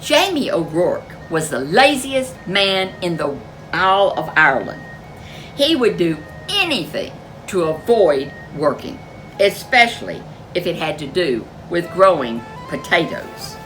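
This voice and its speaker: A woman storyteller reading a folk tale picture book aloud in a gentle, expressive way. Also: on the low side, measured pace, faint music behind, poor audio.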